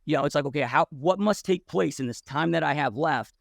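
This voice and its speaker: A man's voice: fairly high pitch, brisk, creaky, no echo, studio quality. A man in his forties, a podcast guest who tells his story bluntly and casually, swearing freely with many restarts and "dude"s.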